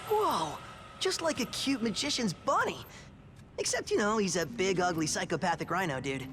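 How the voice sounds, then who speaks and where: A man voicing a goofy, excitable teenage mutant turtle in a cartoon game, talking in surfer-dude slang. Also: sits high, moderate pace, background noise. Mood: amazed, joking. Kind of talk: cartoon video game acting.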